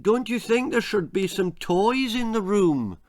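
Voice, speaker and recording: A man's voice: high-pitched, animated, unhurried, pitched above the performer's own voice. A man voicing a timid, anxious middle-aged mother in a children's story, meek and plaintive. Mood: timid protest. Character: timid, anxious forty-year-old mother.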